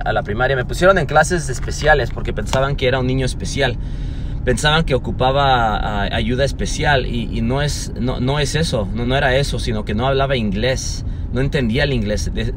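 A man's voice: medium pitch, noisy background. A man, giving an earnest, rambling motivational talk to a phone camera from inside a parked car, with many fillers and restarts. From Los Angeles, USA. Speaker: motivational vlogger. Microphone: phone at arm's length.

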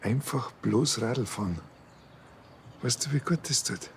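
A man's voice: medium pitch, a little slow, gravelly, slight background noise. An actor playing a self-pitying middle-aged village policeman with a Bavarian accent in a TV crime comedy. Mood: earnest.

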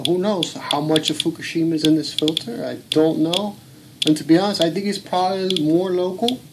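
Man, casual home vlogger, insistent and blunt, noisy phone audio. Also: a little high. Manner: earnest, blunt, swears, speaks up insistently. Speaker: home video blogger. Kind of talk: casual filmed commentary. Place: home room.

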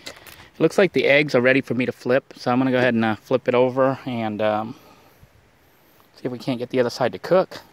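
A man's voice: medium pitch, fairly quick, no echo. Easygoing man rambling outdoors. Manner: relaxed, rambling with many fillers. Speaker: vlogger. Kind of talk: casual how-to narration while cooking. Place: outdoors by a van.

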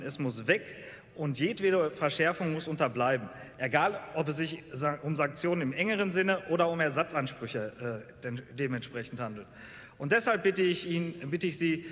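A man's voice: on the high side, fairly bright tone. A man giving an earnest, insistent closing speech in a parliamentary debate. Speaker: member of a state parliament. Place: large plenary hall. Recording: echoing plenary hall.

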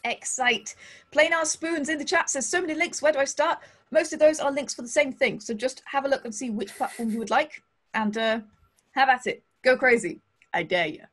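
A woman's voice: sits high, normal speed, a bit bright. A woman in her thirties hosting a livestream interview over a video call, bubbly and playful with frequent jokes. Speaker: podcast host. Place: home studio, indoors.

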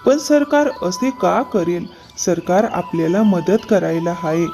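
A woman's voice: fairly low pitch, measured pace, mellow, pitched below the performer's own voice. A woman voicing a poor, humble horse-cart driver and devoted father in a cartoon moral story, with earnest delivery. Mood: worried, pleading. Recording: music bed behind the voice. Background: background music.

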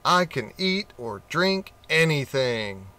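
A bragging warthog, as a male reader puts him on, cocky and sure. His voice is bright and forceful, and he takes his time. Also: a little high, big pitch swings, takes it slowly, exaggerated voice.

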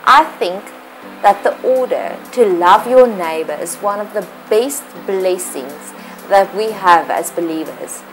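A woman's voice: medium pitch, animated, measured pace, rough recording. Afrikaans-accented woman, devotional vlogger, sincere blessing tone, faint music bed. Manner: earnest, blessing-giving, careful phrasing with small slips. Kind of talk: read devotional voice-over.

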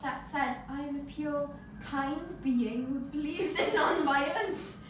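A woman's voice: high voice, takes it slowly. A young woman playing a sanctimonious, mischievous free spirit who haughtily protests innocence in a murder mystery game. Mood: indignant, haughty. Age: young adult. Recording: echoey room, distant camera, background noise.

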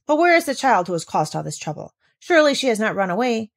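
Lady doing a strict storybook housekeeper woman, indignant and formal, high voice swooping about. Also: very animated, fast talker. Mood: indignant scolding. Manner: formal, severe, exclamatory.

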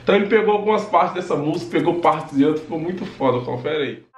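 A young man talks to camera like a YouTube host. His pitch is a little high and his pace is quick, with slangy, casual phrasing. The room adds noticeable echo. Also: expressive. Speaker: YouTube host of a funk music news channel. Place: small indoor room with desk. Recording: roomy echo.

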